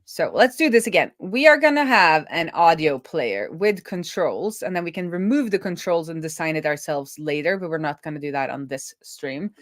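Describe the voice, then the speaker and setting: A woman with a low-pitched voice talks through her work on a casual coding stream. She speaks informally, often to herself, and the sound is close and dry with very little room. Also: lively intonation, moderate pace, hardly any pauses, dry recording. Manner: informal, self-talking. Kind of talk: casual live coding walkthrough, thinking aloud.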